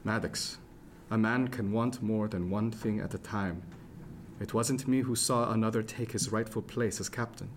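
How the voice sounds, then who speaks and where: An actor playing an anxious, frank ship's doctor of the 1710s in a radio drama, with formal old-fashioned phrasing and an earnest manner. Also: male voice, lowish voice, steady tone, moderate pace, slight background noise, natural voice. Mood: tender, earnest. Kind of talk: serial radio drama acting, period seafaring. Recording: music behind the voice, plucked guitar.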